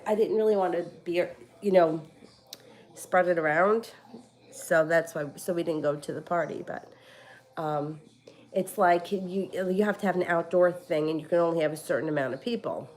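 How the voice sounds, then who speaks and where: A woman with a stuffy, congested voice giving casual tarot readings, talking in a rambling, chatty way full of fillers and restarts. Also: fairly low pitch. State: stuffy from a cold. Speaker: tarot reader. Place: home.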